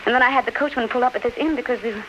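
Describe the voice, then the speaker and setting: Noisy muffled old radio, woman as refined English duchess, fairly high voice, tender, emotional, polite. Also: racing, close to an everyday voice. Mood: gracious. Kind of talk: 1930s radio acting.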